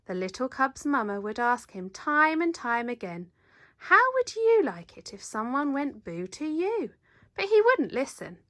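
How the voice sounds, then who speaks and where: A woman reading a children's picture book aloud in an animated, dramatic storyteller's manner. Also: medium pitch, no echo, studio quality. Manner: animated, dramatic, leans into sound words. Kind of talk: expressive read-aloud narration.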